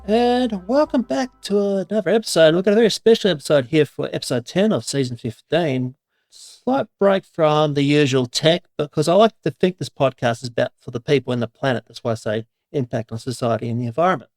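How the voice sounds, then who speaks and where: A man's voice: on the high side, animated, brisk, darkish tone, no echo. A man hosting a podcast who introduces his guest in a chatty, rambling, enthusiastic way. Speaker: podcast host. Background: music.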